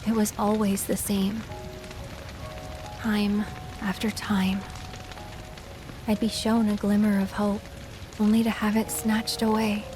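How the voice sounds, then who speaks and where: An actress playing a gentle, earnest young woman in a video game, speaking softly and sincerely. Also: mid-range voice, level tone, music in the background, natural voice. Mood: sorrowful. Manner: soft, halting, sincere. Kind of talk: cinematic video game voice acting.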